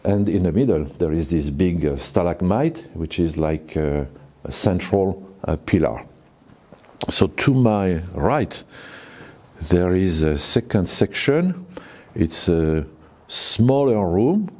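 A man in his sixties with a French accent, a cave owner giving a guided tour to camera, talking slowly and haltingly with many fillers. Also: deep voice, big pitch swings, dark tone. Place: forest outdoors, then inside a small cave.

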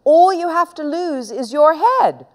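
A woman's voice: high-pitched, expressive, unhurried, pitched above the performer's own voice. A woman voicing a mischievous, boastful young boy from a children's storybook in a theatrical, taunting way.

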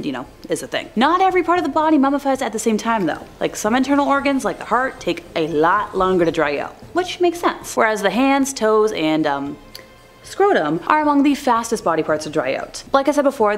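A young woman in her twenties hosting a scripted countdown video, narrating with chatty, self-deprecating asides, filmed in front of a green-screen backdrop. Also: mid-range voice. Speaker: host.

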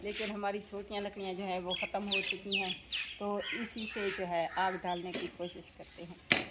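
Young woman chatting through her cooking outside, birds and animals around, clear background noise. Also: mid-range voice, level tone, moderate pace. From Pakistan. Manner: chatty, explains each step to viewers, heavy use of filler phrases. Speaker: village life vlogger. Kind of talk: casual cooking vlog narration. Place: outdoor village courtyard. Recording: outdoor camera audio with clear background noise. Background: outdoor ambience with birds and animals.

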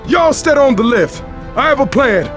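A man voicing a gruff, fatherly middle-aged survivor, in video game lines. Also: very high voice, expressive, moderate pace. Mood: urgent, resolute. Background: music.

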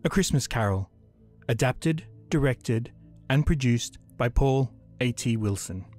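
A man's voice: mid-range voice, expressive, measured pace, a little mellow, faint music behind. A man reading scripted credits as an announcer.

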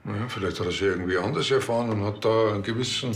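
A man's voice: lowish voice, racing, a little hiss or hum, natural voice. An actor with a Bavarian accent playing a cheerful, naive, self-assured policeman in a TV crime comedy. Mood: chatty. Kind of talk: naturalistic TV crime comedy acting.

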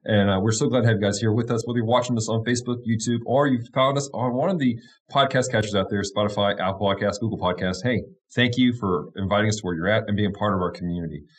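Upbeat pastor guy in his 30s hosting, lowish voice, laughs a lot, close studio mic. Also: fast, few pauses, breathy edge. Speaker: pastor and show host. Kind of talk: casual chat. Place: small room with wood-paneled wall. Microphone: studio mic on boom arm, close.

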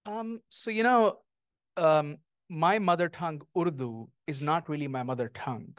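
A middle-aged man, a guest author, speaking thoughtfully and lyrically with many pauses at an onstage conversation, on a handheld mic. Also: on the high side, fairly bright tone, no echo, studio quality. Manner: thoughtful, lyrical, with frequent ums. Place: small stage before an audience, indoors.

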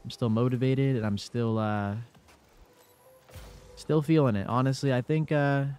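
A man's voice: mid-range voice, a little slow, a little mellow, clean tone, soft background music. A young man with a congested voice giving casual commentary over his own gameplay in a laid-back play-by-play. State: congested from a cold. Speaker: gaming YouTuber.

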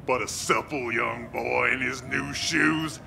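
An actor playing a crazed, lewd homeless brawler with a harsh gravelly voice, leering and snarling in a comic audio drama. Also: male voice, medium pitch, steady tone, slow pace, bright, forceful tone, a little hiss or hum, exaggerated voice.

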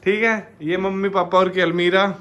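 A man's voice: high-pitched, fairly even intonation, moderate pace, a bit bright. A young man giving a casual, chatty house tour vlog, walking from room to room and talking to his viewers like friends. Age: young adult. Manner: chatty, addresses viewers as "bhai". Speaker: vlogger. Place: indoors in a family home, walking room to room. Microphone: handheld action camera.

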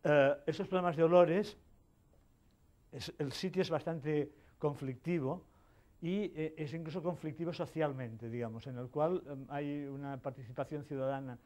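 A man's voice: fairly high pitch, normal speed, darkish tone. A man from Spain giving a technical conference talk in a hall, hesitant, with many fillers and restarts. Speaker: conference speaker.

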